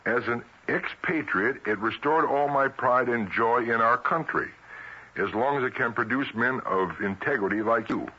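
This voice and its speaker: A good-natured, plain-spoken small-town mailman in a vintage radio play. His husky voice has a forward, bright edge and a homespun manner. The old broadcast recording is slightly dull. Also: mid-range voice. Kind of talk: 1970s radio drama acting.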